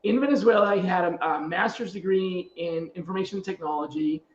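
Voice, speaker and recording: A man's voice: sits high, brisk, reverberant, dull audio, slight background noise. A middle-aged man chatting casually and telling anecdotes as a podcast host.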